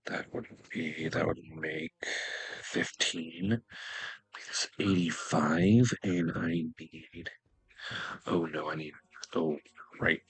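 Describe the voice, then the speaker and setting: A man giving casual gameplay commentary as a video host, thinking aloud in a halting mutter to himself. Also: rumbling low, fairly even intonation, slightly rough, no echo, rough recording.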